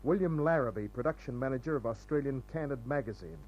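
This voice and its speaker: An actor playing a wry, cool-headed private detective in an old radio noir, narrating and talking in terse, clipped phrases. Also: male voice, mid-range voice, quick pace, a little mellow, close to an everyday voice. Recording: muffled old radio recording.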